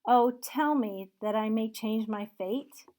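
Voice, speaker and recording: A woman reading aloud and voicing old Scrooge, a reformed miser who exclaims loudly and pleads. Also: a little high, slow. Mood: pleading.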